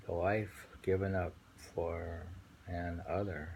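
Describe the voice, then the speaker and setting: A man reading poetry aloud and commenting on it informally, in a contemplative way, pausing to muse between phrases. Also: low voice, little pitch movement, vocal fry, a little mellow. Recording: amateur recording with faint background noise.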